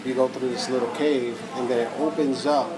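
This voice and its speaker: A man's voice: mid-range voice, fairly even intonation, brisk, rough recording. Laid-back Puerto Rican man, crowd behind. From Puerto Rico. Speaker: podcast co-host. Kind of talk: casual chat.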